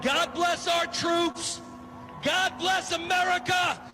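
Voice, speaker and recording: A man loudly proclaiming in drawn-out phrases, as in a ceremonial announcement. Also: very high-pitched, little pitch movement. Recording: noisy outdoor crowd and engines.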